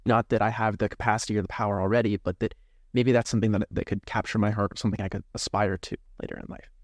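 A young man in his twenties talks things through slowly, pausing and restarting as he searches for the right words. His voice sits low, and the studio sound is close and dry with no room echo. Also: steady tone. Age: 20s. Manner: thoughtful, hesitant, searching for words. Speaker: seminarian guest. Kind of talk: reflective interview talk.

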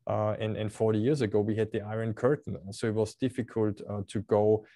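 Austrian man with a deep, dry voice. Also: level tone, a little fast, dark tone, dry recording. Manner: hesitant, many fillers and repeated words. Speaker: cruise company representative. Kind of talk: video call interview. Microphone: video call.

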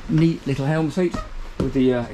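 A man's voice: medium pitch, fairly even intonation, normal speed, noisy background. A man, giving an upbeat walkthrough tour of a small motor boat cabin, filmed on a handheld camera. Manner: chatty, upbeat, pointing out features as he moves. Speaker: boat reviewer.

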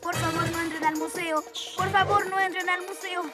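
A woman's voice: extremely high pitch, fairly even intonation, normal speed, loud music behind the voice. A woman voicing an earnest, eager six-year-old boy superhero in a Latin American Spanish cartoon dub. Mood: earnest, worried. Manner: eager, exclamatory. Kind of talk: children's animated cartoon dub.

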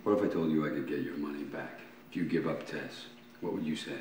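An actor playing a smooth, calm con man in a film, speaking with understatement. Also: rumbling low, quick pace. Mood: calm, sly. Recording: echoey distant film audio with noise.

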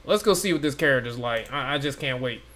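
A young man in a home room giving casual, excited reaction commentary on a game, repeating phrases and stumbling over names. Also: medium pitch, fast. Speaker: gaming reaction YouTuber. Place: home room with gaming chair.

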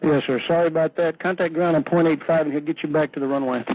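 Male air traffic controller on narrow, hissy radio, higher-pitched voice, clipped instructions. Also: steady tone, fast, no echo. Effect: aviation radio.

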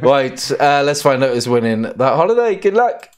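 A man's voice: medium pitch, sing-song, moderate pace, crowd noise. A middle-aged British man hosting a live-streamed prize draw in casual, bantering style. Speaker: competition live-draw host. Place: indoor studio.

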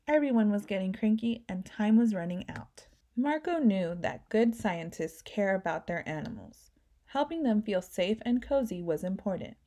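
Mellow woman reading a storybook aloud. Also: medium pitch. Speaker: children's book reader.

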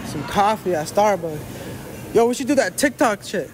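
A young man joking loudly with street slang, outdoors in a busy city square at night. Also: high voice, lively intonation, moderate pace, faint music behind. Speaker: vlogger's friend. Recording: loud crowd and street noise.

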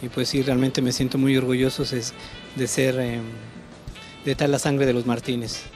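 A man's voice: medium pitch, little pitch movement, moderate pace, music in the background. A man giving hesitant, formal thanks as a musician guest in a TV studio interview.

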